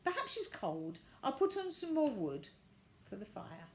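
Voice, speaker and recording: A woman voicing a kindly, practical motherly figure in a storybook, gentle and encouraging. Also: sits high, big pitch swings, a little slow, a little hiss or hum, pitched above the performer's own voice. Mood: welcoming.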